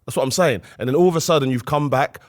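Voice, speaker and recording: A man's voice: medium pitch, quick pace, no room sound, studio quality. A man co-hosting a football podcast, poking fun at his co-host with exaggerated jabs.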